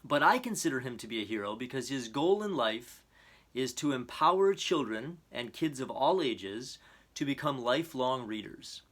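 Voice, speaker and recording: A man presenting and reading a children's storybook aloud in an earnest, expressive, measured way. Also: mid-range voice. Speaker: storybook reader.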